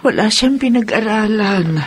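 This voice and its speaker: A woman's voice: medium pitch, expressive, moderate pace, a little hiss or hum, lightly acted. An actress playing a sickly, sorrowful poor mother, weary and full of pity, in a radio drama. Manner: weary, pitying.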